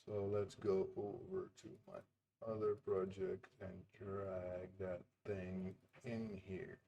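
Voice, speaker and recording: A man's voice: deep voice, level tone, slow, gravelly, mellow, lo-fi sound, a little hiss or hum. A man chatting casually on a live stream while building his game, laughing often and muttering to himself as he works. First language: Dutch. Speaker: indie game developer streaming.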